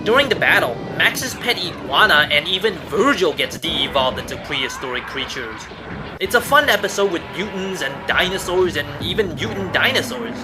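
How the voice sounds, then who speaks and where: Animated higher-pitched man narrating a countdown. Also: normal speed, low-quality recording. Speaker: host of a top ten list show. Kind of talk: scripted list narration. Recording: music behind the voice. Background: music.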